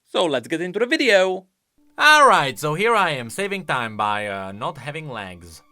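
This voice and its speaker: Male commentary host. Bright, forceful voice with lots of swing, conversational and emphatic. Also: fairly high pitch, big pitch swings, moderate pace, faint music behind. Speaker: video host. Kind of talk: casual reaction and explanation commentary.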